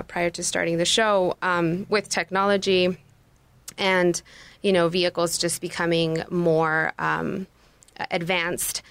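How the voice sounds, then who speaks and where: A woman's voice: on the low side, gravelly, no echo. Chatty woman, Spanish her first language. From Mexico. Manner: chatty, many fillers like "you know" and "um". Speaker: guest, business owner. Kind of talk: interview answers.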